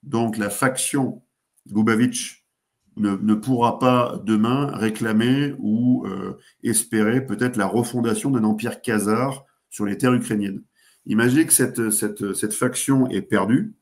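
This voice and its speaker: A man with a lowish voice talks through political analysis as a studio guest. He hesitates constantly, circling back with fillers and restarts before landing his point. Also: measured pace. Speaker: guest commentator. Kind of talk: geopolitical analysis in a talk show.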